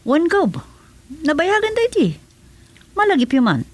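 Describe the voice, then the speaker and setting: A woman's voice: very high voice, sing-song, moderate pace, dry recording. A woman playing an obedient aide to a governor in a radio serial. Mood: deferential. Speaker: performer in a radio drama.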